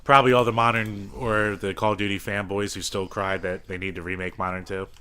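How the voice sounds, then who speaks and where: A man's voice: on the low side, flat delivery, fairly quick, pushed and bright, a little hiss or hum. A man co-hosting a podcast, bantering casually about games in a profane, self-mocking way. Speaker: podcast co-host.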